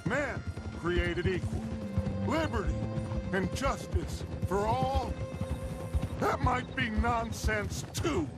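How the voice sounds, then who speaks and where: A man's voice: high voice, slow pace, long pauses. A man playing an eloquent, idealistic middle-aged American outlaw gang leader in a video game, with a grandiloquent, persuasive delivery. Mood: impassioned. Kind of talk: dramatic video game acting. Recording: loud music and horse hooves behind.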